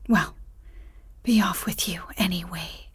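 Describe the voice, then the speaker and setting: A woman voicing the grumpy, fearful wife of a giant, speaking in a glum, breathy whisper. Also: lowish voice, slow pace, dry recording, pitched below the performer's own voice, exaggerated voice. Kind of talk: whispered storybook reading.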